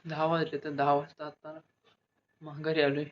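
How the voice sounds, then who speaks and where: A man's voice: a little high, completely flat delivery, normal speed. A young man chatting casually as he presents his vlog. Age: young adult. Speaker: vlogger. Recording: dull lo-fi audio, faint noise.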